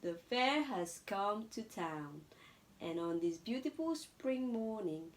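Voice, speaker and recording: A woman reading a storybook to her child as a mother, patiently pausing to chat and answer. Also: medium pitch, lively intonation.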